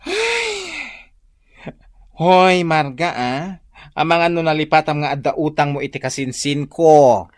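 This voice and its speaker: A sassy, gossipy cousin in an Ilocano-accented radio drama. The voice swoops up and down with indignant exclamations and teasing. The pitch leaps about freely. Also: very animated, unhurried, no room sound, studio quality. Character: gossipy, sassy cousin who takes the friend's side.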